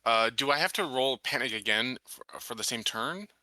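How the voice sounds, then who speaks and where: Bright, forceful man playing a tabletop game. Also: medium pitch, expressive, normal speed, dry recording, poor audio. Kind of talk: casual gaming chat.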